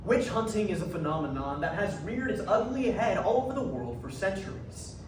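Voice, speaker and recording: A man's voice: high-pitched, sing-song, brisk. A young man delivering scripted stage narration as a readers theatre performer. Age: young adult. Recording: echoey hall from far off, noisy.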